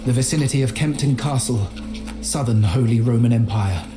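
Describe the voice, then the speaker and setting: An actor playing a learned, timid chronicler in a video game, speaking in formal, measured, literary phrases. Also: male voice, mid-range voice, over music, close to an everyday voice. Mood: solemn, reflective. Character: earnest, learned, timid medieval scholar and chronicler. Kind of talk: dramatic journal narration in a video game.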